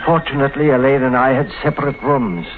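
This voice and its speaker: An actor playing a nervous, guilt-ridden husband in a 1950s radio mystery, speaking haltingly with dramatic pauses and repeated phrases. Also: male voice, mid-range voice, lightly acted. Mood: haunted, brooding. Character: nervous, guilt-ridden husband hiding a murder, narrating his story. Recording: scratchy, tinny 1950s radio recording.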